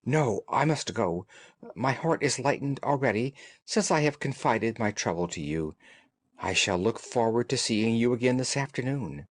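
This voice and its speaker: A man voicing a distraught young gentlewoman in an audiobook, speaking earnestly and formally, with some guardedness. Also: mid-range voice, lively intonation, moderate pace, no room sound, natural voice. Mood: relieved, earnest. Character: distraught, frightened young gentlewoman confiding her trouble.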